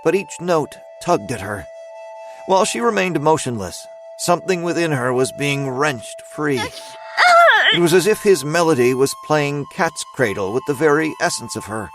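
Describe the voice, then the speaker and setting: A man narrating a scripted dramatic story as its storyteller, in a measured, suspenseful manner. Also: fairly high pitch, expressive, music in the background.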